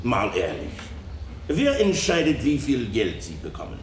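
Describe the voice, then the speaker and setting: A man's voice: mid-range voice, wildly swooping pitch, unhurried. A man acting an insistent, sardonic lawyer questioning a witness in a radio docudrama. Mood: pointed, probing. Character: probing, sardonic lawyer cross-examining a witness. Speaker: courtroom examiner questioning a witness. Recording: roomy echo, faint background noise.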